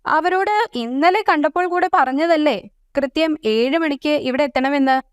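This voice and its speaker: A woman's voice: high-pitched, moderate pace, no echo, studio quality, pitched above the performer's own voice, close to an everyday voice. A woman voicing a grumpy, impatient owl in a children's story, complaining emphatically. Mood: annoyed.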